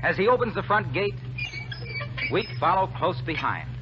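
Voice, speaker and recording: A man's voice: high-pitched, big pitch swings, moderate pace. An American man announcing in scripted 1940s radio narration. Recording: muffled 1940s radio broadcast, music behind.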